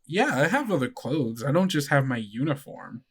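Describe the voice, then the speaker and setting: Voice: medium pitch, big pitch swings, normal speed, husky. A tabletop player voicing a prim, naive schoolkid with an earnest, hesitant manner. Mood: puzzled.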